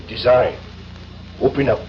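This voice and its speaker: An actor playing a terse, commanding French conspirator with a French accent, in the style of 1970s radio drama. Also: male voice, medium pitch, steady tone, normal speed, lightly acted. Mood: urgent, scheming. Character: commanding French male conspirator posing as a waiter. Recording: dull noisy vintage radio, music.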